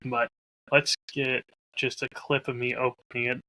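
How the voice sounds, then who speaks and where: Guy hosting, very bright crisp-edged voice, casual chat, dry close mic. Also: mid-range voice, flat delivery, moderate pace, dry recording, poor audio. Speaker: podcast host and whiskey audio drama creator. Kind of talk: casual chat, showing a bottle on a recording. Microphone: close lav mic.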